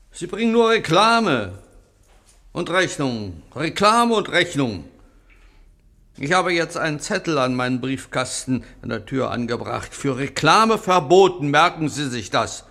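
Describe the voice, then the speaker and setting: An actor playing an indignant, suspicious resident who complains and scolds self-righteously in a radio drama. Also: male voice, fairly high pitch, pushed and bright, heavily acted. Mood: scolding. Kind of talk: German radio drama acting.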